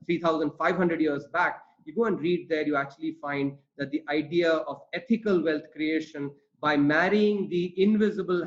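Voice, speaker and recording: A man whose first language is Tamil gives an earnest lecture on economics in a webinar, full of fillers and restarts. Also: fairly high pitch. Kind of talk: webinar talk. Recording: lo-fi online call audio.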